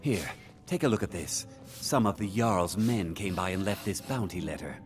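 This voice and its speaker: A matter-of-fact innkeeper from a fantasy video game. He has a low voice, with faint music behind it. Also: expressive, normal speed. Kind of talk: video game character dialogue.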